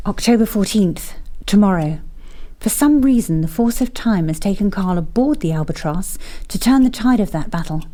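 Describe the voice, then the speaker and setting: A woman playing a calm, grave and mysterious agent who guards time in an audio drama. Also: medium pitch, normal speed, natural voice.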